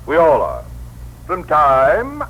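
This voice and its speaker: An actor playing a fussy, officious director of a celestial bureau, with pompous, formal diction, in 1960s radio drama style. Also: male voice, fairly high pitch, wildly swooping pitch, takes it slowly, dull audio, exaggerated voice. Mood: pompous, appalled. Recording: noisy vintage radio broadcast recording.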